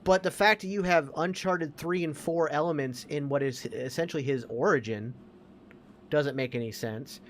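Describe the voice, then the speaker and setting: A man's voice: a little high, normal speed, a little hiss or hum. A man co-hosting a podcast, talking casually and emphatically as he gives his opinions. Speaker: podcast co-host.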